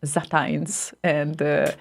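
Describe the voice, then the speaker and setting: A woman's voice: deep voice, level tone, drawn-out words, gravelly. A woman co-hosting a live internet radio show, chatting casually with hesitant fillers and frequent laughs. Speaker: radio co-host.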